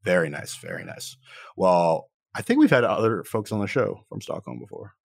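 Upbeat low-voiced podcast host man. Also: very animated, moderate pace, gravelly, dry recording, studio quality. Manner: upbeat, playful, repeats words. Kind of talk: podcast intro and interview.

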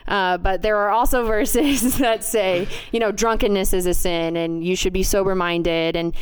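Clear-voiced young female podcast co-host. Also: medium pitch, fairly even intonation, normal speed, no echo. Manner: laughs easily, earnest when explaining. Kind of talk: casual podcast chat.

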